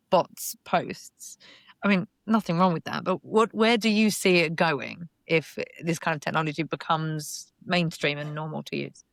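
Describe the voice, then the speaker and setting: A woman's voice: on the low side, dry recording, clean studio sound. A woman co-hosting a podcast, asking thoughtful, musing questions. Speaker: podcast co-host. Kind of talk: casual podcast interview.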